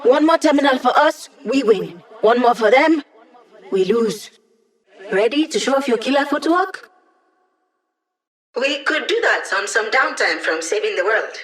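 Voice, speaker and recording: A woman's voice: a little high, animated, unhurried, pauses often. A woman playing a cheeky young hacker who gives tech support in a video game, with a snarky, playful manner and chatty tech slang. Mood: keen, urging. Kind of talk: video game character voice lines.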